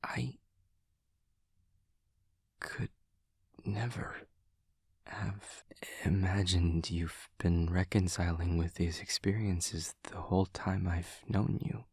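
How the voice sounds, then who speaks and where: A man voicing an awkward, caring young demon classmate in an intimate audio roleplay, with soft husky voice and stilted, formal, hesitant phrasing. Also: deep voice, steady tone, takes it slowly, long pauses, dry recording, exaggerated voice. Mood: stunned, halting.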